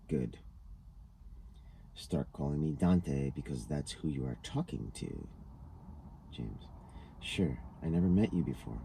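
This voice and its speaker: Very bright, very deep-voiced man reading. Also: vocal fry. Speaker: reader of a written transcript. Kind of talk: scripted reading of an interview dialogue. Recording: noticeable background noise.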